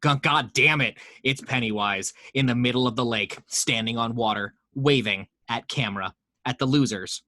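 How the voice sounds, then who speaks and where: A man's voice: medium pitch, moderate pace, no echo. Man narrating stage directions, dry. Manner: steady reading of stage directions, voicing sound effects. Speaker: narrator reading action description. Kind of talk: table read narration.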